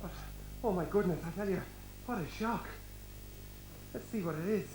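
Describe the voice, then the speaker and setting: A man's voice: high-pitched, normal speed, background noise, lightly acted. A man playing a cowardly, nervous royal servant in an amateur stage pantomime, stuttering, exclaiming and repeating himself. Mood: shaken, bewildered. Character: jittery, cowardly, food-loving royal servant called Windbag. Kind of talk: broad amateur pantomime acting. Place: hall stage. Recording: echoey stage recording.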